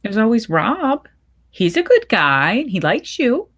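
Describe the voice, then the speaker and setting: Woman as gentle storybook mom, gravelly husky voice, soothing, affectionate, middle-aged. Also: on the high side, sing-song, slow, full character voice. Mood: lightly teasing. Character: gentle, reassuring middle-aged mother of a grown daughter. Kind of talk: dramatic short story reading.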